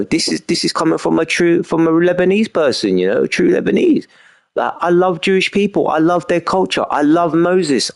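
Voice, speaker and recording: A man's voice: mid-range voice, creaky. A young man streaming a game and talking in an emphatic, repetitive way, often filling with "you know what I mean". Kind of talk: casual rambling monologue while gaming.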